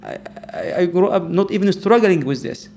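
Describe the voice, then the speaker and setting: Gravelly imam, hesitant speech. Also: male voice, sits high, expressive, moderate pace, darkish tone, slight background noise. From Egypt. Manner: hesitant, restarts with many uhs. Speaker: imam and campus chaplain. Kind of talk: interview soundbite.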